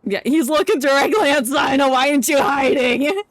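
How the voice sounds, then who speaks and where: A woman's voice: high voice, expressive, fairly quick, no echo. A woman game streamer giving casual, joking, irreverent live commentary. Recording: faint game music behind. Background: video game music.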